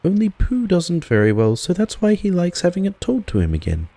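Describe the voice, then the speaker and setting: A man's voice: sits high, huge pitch swings, a little fast, mellow, no room sound, pitched above the performer's own voice, heavily acted. A man voicing a small, innocent, inquisitive young boy in a storybook, with a childlike, questioning manner. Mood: innocent, wondering. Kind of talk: storybook character voice.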